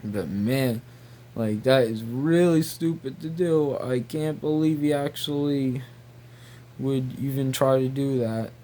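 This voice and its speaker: Male, unhurried pace, mid-pitched voice; off-the-cuff commentary, halting and disbelieving. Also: dark tone, slight background noise. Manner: incredulous, repetitive, halting. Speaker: video creator. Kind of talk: casual rambling commentary.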